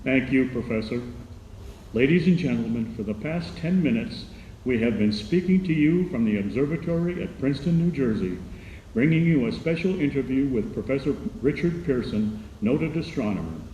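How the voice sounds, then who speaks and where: A man's voice: mid-range voice, lively intonation, dark tone, lightly acted. A man playing an earnest radio commentator, reporting formally in an old-time radio drama news style. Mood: formal, composed. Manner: formal, deliberate announcing. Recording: echoey radio drama with background noise.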